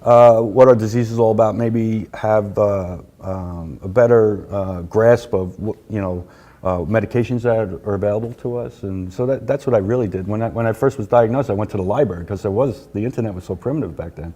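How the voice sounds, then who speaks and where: Low, mellow-voiced man from New York. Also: brisk, lo-fi sound. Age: middle-aged. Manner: chatty, laughs easily, many fillers. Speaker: patient advocate guest. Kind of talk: casual interview. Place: conference room, indoors. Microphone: lapel.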